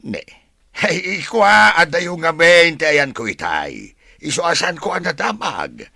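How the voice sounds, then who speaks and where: A man's voice: on the high side, big pitch swings, measured pace, gravelly, pushed and bright, no room sound, studio quality, heavily acted. A man acting as an evasive, defensive husband and son in an audio drama, brushing off questions with exasperation.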